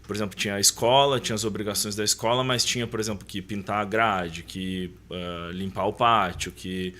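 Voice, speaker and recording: A man's voice: fairly low pitch, steady tone, brisk, pushed and bright. A man, reflectively telling personal recollections as a podcast guest. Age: 30s. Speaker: interview guest. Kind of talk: conversational podcast storytelling.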